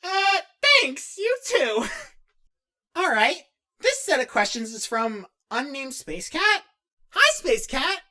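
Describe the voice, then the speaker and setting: A woman's voice: high voice, sharp tone, full character voice. A woman voicing a young, awkward, kind-hearted character who answers questions in a casual, hesitant, encouraging and rambling way. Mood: bashful, flustered, then playful, amused.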